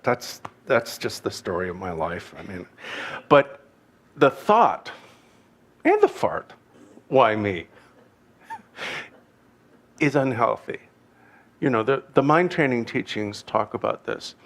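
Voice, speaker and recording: A man with a Canadian accent giving an informal dharma teaching, talking in a halting, digressive way with wry, self-deprecating humor. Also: medium pitch, sing-song, vocal fry, a bit bright. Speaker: Buddhist teacher.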